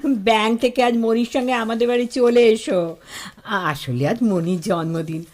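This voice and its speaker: A woman's voice: on the high side, measured pace, darkish tone, lightly acted. An actress playing a middle-aged mother, chatty and affectionate on the phone. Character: warm middle-aged mother, doting aunt.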